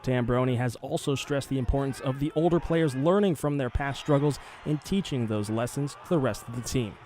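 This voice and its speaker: A man's voice: medium pitch, fairly quick, a little mellow, slight background noise. A man narrating a scripted sports news package as a television reporter.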